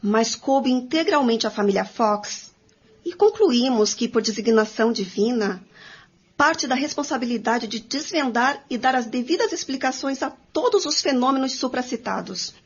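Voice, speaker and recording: A woman playing a firm, formal spiritist commentator in a scripted radio drama, husky in voice. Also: on the high side, lively intonation, normal speed. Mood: formal, explanatory. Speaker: radio drama narrator and discussant. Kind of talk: scripted radio drama narration in dialogue form. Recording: dull, lo-fi audio.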